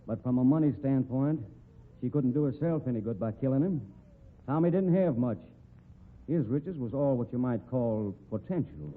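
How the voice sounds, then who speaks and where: Mid-pitched American male actor as plainspoken cowboy detective, folksy and dogged, narrow vintage radio recording. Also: animated, natural voice. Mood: reasoning, firm. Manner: folksy, deliberate reasoning with rustic grammar. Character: plainspoken, dogged western amateur sleuth. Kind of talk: old-time radio drama acting. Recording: tinny, narrow-band old radio recording.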